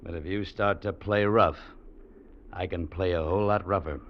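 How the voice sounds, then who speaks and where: An actor playing a hard, dutiful Mountie sergeant who talks curtly and sternly, in 1940s radio drama style. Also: male voice, deep voice, fairly even intonation, normal speed. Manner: curt, stern and unyielding. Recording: dull old radio recording, faint hiss.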